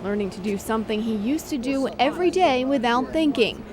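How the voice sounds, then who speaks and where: A woman's voice: fairly high pitch, animated, brisk, noisy background. A woman reporter reading scripted narration for a news feature.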